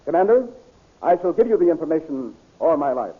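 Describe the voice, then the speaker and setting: A brave, earnest officer. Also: male voice, fairly high pitch, very animated, a little fast, breathy edge. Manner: earnest and declamatory. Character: loyal, brave male officer disguised as a humble peddler. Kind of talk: 1930s radio drama acting. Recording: tinny, narrow old radio recording.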